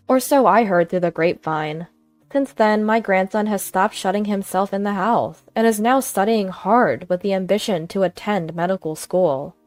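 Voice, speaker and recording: Female storyteller, mid-pitched, scripted first-person narration, very dry sound with background music. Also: a little mellow, no echo.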